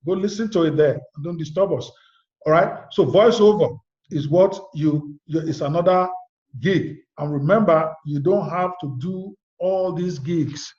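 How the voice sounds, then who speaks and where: Man, online class instructor, direct and chatty, slightly high voice, dull lo-fi audio. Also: measured pace, a little mellow. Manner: direct, chatty, spells out web addresses. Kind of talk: live web class teaching.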